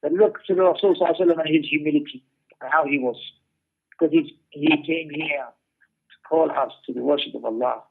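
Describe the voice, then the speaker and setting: A high-pitched man gives a religious lecture over a narrow, telephone-like recording. He explains emphatically, circling back to repeat phrases and putting rhetorical questions to his listeners. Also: fast talker, vocal fry, husky. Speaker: religious teacher giving a class. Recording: muffled narrow-band radio-like sound.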